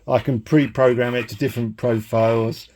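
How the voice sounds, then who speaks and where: A man giving a casual, rambling demonstration of a homemade device, halting and thinking aloud as he goes. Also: mid-range voice, steady tone, a little mellow.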